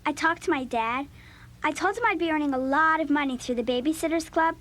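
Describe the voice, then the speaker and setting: An excitable preteen schoolgirl in a children's audio drama. The voice is high and bright, with an earnest, emphatic delivery. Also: a little fast. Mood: proud, excited.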